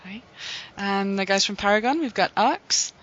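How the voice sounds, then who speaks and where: A podcast host reading a scripted recap in a steady, measured way, then introducing guests. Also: mid-range voice, lo-fi sound, slight background noise.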